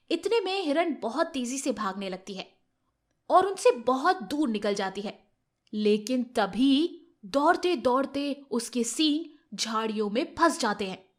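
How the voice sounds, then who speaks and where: High, lively woman storyteller. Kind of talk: scripted children's moral story narration.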